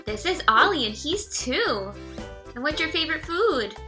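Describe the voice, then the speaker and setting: A woman's voice: high-pitched, very animated, moderate pace, bright, forceful tone, faint music behind. A woman talks to a small child in a chatty, singsong, coaxing way. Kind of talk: chatty child-directed talk.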